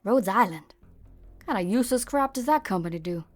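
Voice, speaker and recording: A woman playing a brusque, sharp-tongued frontier woman in an amateur game fan dub, blunt, slangy and scornful. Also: medium pitch, expressive, brisk, no echo, faint music behind, close to an everyday voice. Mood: dismissive, cynical.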